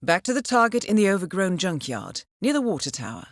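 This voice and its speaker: Composed, lower-pitched female spy handler. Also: big pitch swings, no room sound, clean studio sound. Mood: measured briefing. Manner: measured, formal, dryly understated. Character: composed female intelligence handler briefing an assassin, coolly wry. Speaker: mission handler. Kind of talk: scripted video game mission briefing.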